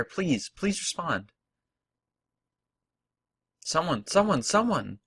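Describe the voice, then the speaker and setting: A man doing a young girl in a horror game, pitched well above his own register. She shouts for help in high, strained calls. When she turns to her frightened friend, her tone becomes reassuring. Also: husky, dry recording, studio quality, exaggerated voice. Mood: pleading.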